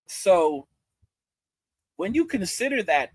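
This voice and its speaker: A man's voice: high-pitched, very animated, takes it slowly, breathy edge, dry recording. A man in his forties ranting in commentary over a clip, stammering and repeating himself with mocking asides. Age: 40s.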